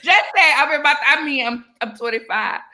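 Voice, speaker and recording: Bubbly high-voiced woman in her forties. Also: normal speed. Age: 40s. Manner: animated, laughs often. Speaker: podcast host. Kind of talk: casual chat.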